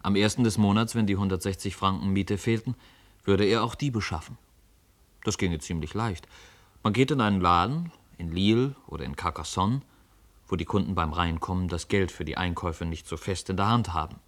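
Male narrator, literary radio play: deep register, scripted storytelling. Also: fairly quick.

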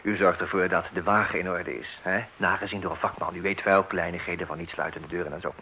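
A man's voice: low voice, fairly even intonation, fast, pushed and bright, slight background noise. An actor playing a fussy, methodical family father who also narrates a radio play in a mock-solemn, instructive tone. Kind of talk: Dutch radio play acting.

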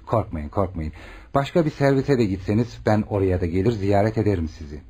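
An actor playing an earnest, caring young male doctor in a Turkish radio play, with an expressive, questioning manner. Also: fairly low pitch, fairly quick, husky. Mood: reassuring, gentle. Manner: expressive, probing, reassuring. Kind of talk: Turkish radio theatre acting.

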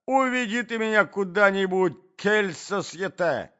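A man's voice: high-pitched, animated, a little slow, pushed and bright, highs cut off, pitched above the performer's own voice, exaggerated voice. A man voicing a bewildered, vain old prince in a storybook reading, muddled and plaintive, with affected aristocratic manners. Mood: plaintive distress. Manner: muddled, plaintive, lingering on words, affected French phrases.

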